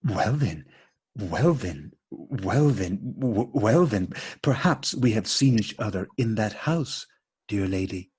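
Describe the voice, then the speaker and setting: Man playing courteous, bemused husband, low and husky. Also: animated, darkish tone, heavily acted. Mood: polite puzzlement. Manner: formal, deliberate, repetitive politeness. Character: courteous, bemused married man piecing together a coincidence. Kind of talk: absurdist stage dialogue played as radio drama.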